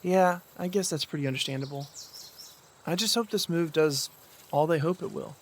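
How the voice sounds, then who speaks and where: A man's voice: a little high, normal speed, a little hiss or hum, natural voice. A man acting an earnest, hopeful and grateful brother in a naturalistic audio drama.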